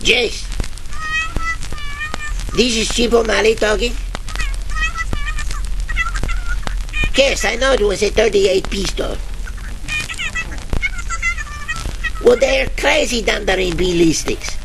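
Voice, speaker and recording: A man playing a blustering, gloating, dim-witted police chief with stilted, deliberate speech in a parody of old radio detective drama. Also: sits high, vocal fry. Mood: flustered. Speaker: actor in a comedy radio play. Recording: noisy old-radio crackle.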